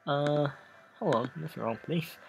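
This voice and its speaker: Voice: mid-range voice, creaky, darkish tone, slight background noise, low-quality recording. A let's play host of unclear sex narrating a game casually and reading its text aloud, often stumbling and restarting. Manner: halting, stumbles over read text and restarts. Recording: music playing behind voice. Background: background music.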